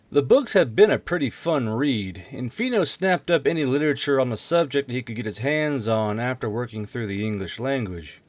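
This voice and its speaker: A man's voice: medium pitch, no echo. A man reading a fantasy war story aloud as scripted narration. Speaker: narrator, audiobook reader.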